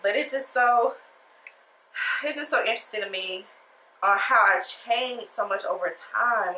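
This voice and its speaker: A woman's voice: on the high side, sharp tone, reverberant, a little hiss or hum, rough recording. Chatty, sassy woman with a stuffy nose. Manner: chatty, sassy, talks straight to viewers. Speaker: beauty vlogger. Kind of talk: casual makeup tutorial chat.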